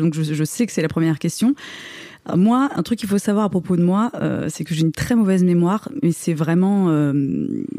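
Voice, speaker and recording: A woman's voice: fairly low pitch, mellow, no echo. A woman in her thirties from Lorraine in France, chatting casually as a podcast guest in an informal, self-deprecating way. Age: 30s. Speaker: podcast guest, video creator. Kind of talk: casual interview chat.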